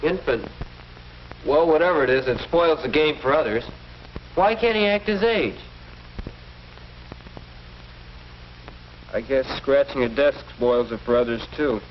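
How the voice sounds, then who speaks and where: An actor playing an earnest, polite teenage schoolboy in a 1940s educational film, speaking deferentially. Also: male voice, medium pitch, animated, moderate pace, natural voice. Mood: puzzled, earnest. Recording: muffled, noisy old 1940s film soundtrack.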